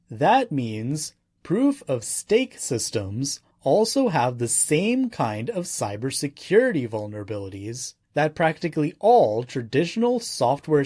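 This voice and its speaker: A man narrates non-fiction from a script in a measured, emphatic way. His pitch moves in lively swings while the delivery stays slow. The sound is dry and close, with no room around the voice. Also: slightly rough, no room sound. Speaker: audiobook narrator.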